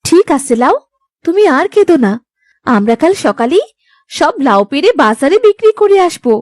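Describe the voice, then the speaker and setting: A woman's voice: high voice, sing-song, moderate pace, a little mellow, dry recording, studio quality. A woman playing a tender, sensitive young village daughter-in-law in a cartoon, with a sweet, childlike, pleading manner. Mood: concerned, soothing. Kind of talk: animated moral story acting.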